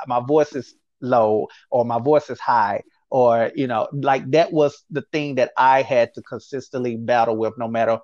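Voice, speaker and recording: A man's voice: mid-range voice, fairly even intonation, normal speed, few pauses, a little mellow, no echo. Male interview guest, candid and reflective, recorded in a dry room with slightly dull sound. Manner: reflective, speaks in repeated phrases, often says "you know what I'm saying".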